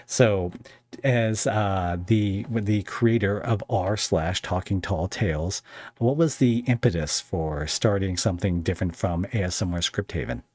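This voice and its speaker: A man with a low voice hosts a relaxed conversational podcast. He rambles and jokes, often halting and circling back as he goes. The sound is close and dry. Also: no room sound. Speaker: podcast host interviewing a guest. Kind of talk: casual conversational interview.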